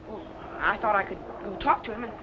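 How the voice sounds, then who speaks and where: A man's voice: high voice, fairly quick, close to an everyday voice. A young-sounding male actor playing an eager, nervous deck boy, hesitant, in old-time radio adventure style. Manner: hesitant, youthful. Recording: very noisy, dull vintage radio recording.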